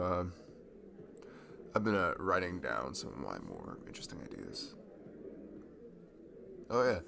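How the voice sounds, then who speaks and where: A man playing a friendly, nerdy young guy in a casual ASMR roleplay, chatting to a childhood best friend in a halting, self-deprecating ramble. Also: deep voice, vocal fry, fairly bright tone, slight background noise, full character voice. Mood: fond, playful. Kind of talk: improvised-sounding ASMR roleplay, casual one-sided conversation.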